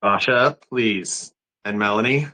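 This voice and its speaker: A man acting as a calm psychiatric doctor with a slightly low voice. He sounds measured and in charge, gently firm, as in a read-through of a drama. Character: calm, authoritative male psychiatric ward doctor. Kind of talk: amateur screenplay table read.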